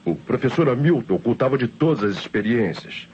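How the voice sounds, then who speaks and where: A man's voice: medium pitch, expressive, a little fast, a little mellow, close to an everyday voice. A Brazilian man acting a professor's nervous, evasive assistant in an old radio drama, defensive and hesitant. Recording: dull old radio recording, faint hiss.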